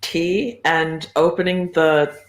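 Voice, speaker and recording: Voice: medium pitch, steady tone, slow, over a noisy crowd. A co-host chatting casually in a musing, lightly giggly way, recorded in an echoey room with some noise. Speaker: guest co-host. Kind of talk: casual chat.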